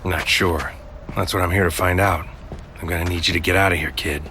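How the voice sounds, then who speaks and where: An actor playing a world-weary psychic agent in a noir audio drama, speaking in a terse, brooding, deliberate way. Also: male voice, low-pitched, steady tone, bright, forceful tone, a little hiss or hum. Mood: curt, guarded. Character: world-weary psychic agent man narrating his dangerous mission.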